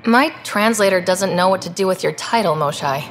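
A woman playing a young, calm and direct space explorer in video game dialogue. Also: fairly low pitch, fairly quick, slight background noise, lightly acted, poor audio. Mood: curious, earnest. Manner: straightforward, calm.

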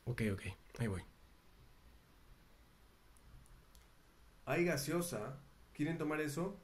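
A man's voice: a little high, lively intonation, moderate pace, husky. A man playing an easygoing young man who teases his cousin and chats with his best friend. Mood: teasing. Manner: teasing, chatty. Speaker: ASMR roleplay performer.

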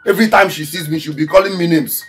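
An actor playing a poor, aggrieved young village farmer with a Nigerian accent, stammering and repeating himself in agitation. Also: male voice, a little high, fast talker, fairly bright tone, close to an everyday voice. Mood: angry, aggrieved. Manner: agitated, stammering repetition of words. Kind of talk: Nollywood film acting. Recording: background music under dialogue.

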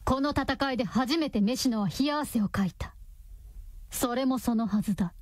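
Higher-voiced woman narrating radio drama. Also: moderate pace, no echo.

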